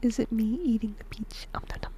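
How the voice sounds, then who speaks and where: A woman playing a whimsical death god in a whispered ASMR roleplay, gentle, musing and playful, breathy and close to the microphone. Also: on the high side, little pitch movement, fast talker, natural voice. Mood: soft wonder. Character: whimsical female shinigami death god fond of humans.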